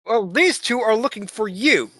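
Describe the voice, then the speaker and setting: A man's voice: sits high, animated, normal speed, pushed and bright, no room sound, clean studio sound. A man playing a tabletop game casually, narrating his character's moves with blunt talk and free swearing.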